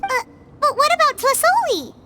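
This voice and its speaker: A tiny, chirpy girlish companion creature, squeaking high and swooping all over the place. She fusses in quick, bubbly bursts. Loud ambient noise from the game scene fills the background. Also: big pitch swings. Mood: worried. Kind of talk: video game dub.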